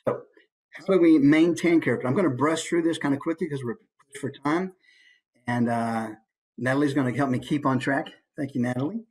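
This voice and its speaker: A man's voice: mid-range voice, fairly quick, darkish tone, husky, low-quality recording. Male voice coach, informal teaching delivery, a touch of huskiness in the tone. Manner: demonstrates points with brief put-on voices. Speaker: voice actor giving a coaching presentation. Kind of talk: informal instructional presentation.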